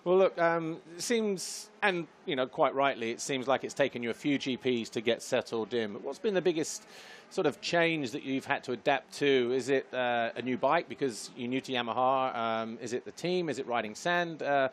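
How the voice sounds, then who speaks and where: A man's voice: mid-range voice, moderate pace, few pauses, slight background noise. A man hosting a TV studio show, upbeat and chatty, laughing easily as he runs the show. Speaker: TV show host.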